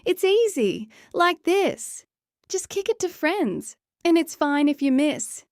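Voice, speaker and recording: A woman's voice: very high-pitched, sing-song, slow, dry recording, clean studio sound, voice pushed up, exaggerated voice. A woman voicing eager, friendly young animal schoolmates in a children's storybook, encouraging and exclaiming. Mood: playful, encouraging.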